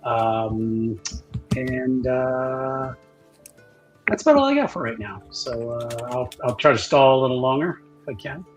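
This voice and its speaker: Male tabletop player, slow and low-key, hesitant casual chat, faint music in the background. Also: lively intonation. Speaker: player in a tabletop roleplaying show.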